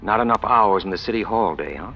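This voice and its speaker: A man's voice: lowish voice, expressive, a little fast, vocal fry, slight background noise. An actor playing a hard-boiled, scheming small-time crook who narrates his own rise in clipped, wisecracking slang, in old radio noir style. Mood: needling. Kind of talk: 1940s-50s radio noir acting. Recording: dull old radio recording, music behind.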